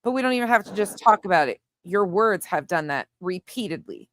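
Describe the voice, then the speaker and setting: A woman's voice: mid-range voice, expressive, brisk, a bit bright, no room sound, studio quality. A woman hosting an opinionated online case commentary, speaking in a pointed, emphatic, argumentative way. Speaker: commentary show host.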